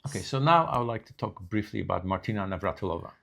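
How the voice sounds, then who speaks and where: An older man with a very low, deep voice. He talks in a halting, unhurried way, full of restarts and fillers, in a relaxed news chat. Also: gravelly. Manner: slow, halting delivery with many restarts. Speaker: co-host. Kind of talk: casual chat.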